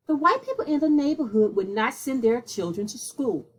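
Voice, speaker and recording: Slightly husky woman reading a storybook. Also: sits high, very animated, moderate pace. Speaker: read-aloud storyteller. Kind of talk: scripted narration of a children's picture book.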